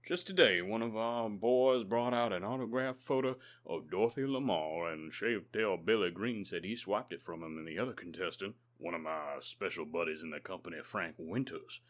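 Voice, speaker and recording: A gentle reverend who lost his son played by a man in a radio play. His voice is low, and he speaks in a courteous, sentimental, slightly halting manner. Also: close to an everyday voice. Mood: fond, eager. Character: gentle, courteous bereaved reverend, doting father. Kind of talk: old-time radio drama acting.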